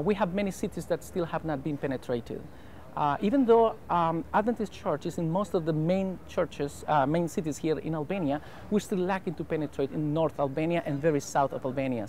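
A man's voice: fairly high pitch, darkish tone. Salvadoran man in his 30s, Spanish-accented English, earnest pastor being interviewed, lapel mic outdoors, faint noise. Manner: earnest, careful English with filler pauses. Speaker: pastor and mission president. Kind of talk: interview answers. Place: outdoor rooftop terrace over a harbor.

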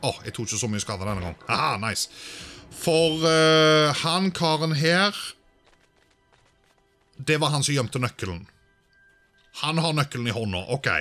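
Lively man commentating a game. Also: a little high, long pauses, soft background music. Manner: sprinkles English exclamations into his talk. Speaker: gaming video host. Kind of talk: casual let's-play commentary, thinking aloud.

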